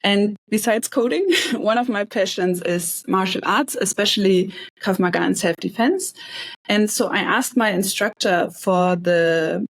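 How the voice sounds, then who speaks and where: A young woman from Hamburg explains her team's project in a relaxed, chatty manner. Her voice has a middle pitch, and the recording is dry and close. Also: a little slow, no room sound. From Hamburg, Germany. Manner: relaxed, chatty, light laughs. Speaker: bootcamp graduate presenting project. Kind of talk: casual project presentation.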